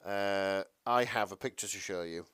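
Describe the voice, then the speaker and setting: Male co-host for loose podcast banter, halting and sweary, dry close sound. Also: deep voice, a bit bright, clear, resonant tone, no room sound. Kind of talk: casual chat.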